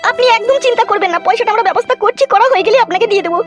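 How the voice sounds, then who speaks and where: Woman acting a very high-pitched, defensive cartoon wife. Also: racing, loud music behind the voice. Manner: evasive, protesting. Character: defensive, put-upon young runaway wife and tenant. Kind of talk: comic cartoon dialogue.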